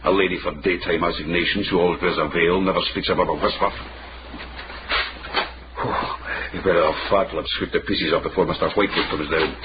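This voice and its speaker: An actor playing a shrewd, weary investigator in a period radio drama. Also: male voice, deep voice, steady tone, brisk, close to an everyday voice. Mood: cool, probing. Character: shrewd, weary man investigating intrigue in old Edinburgh. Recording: dull, very noisy vintage radio recording.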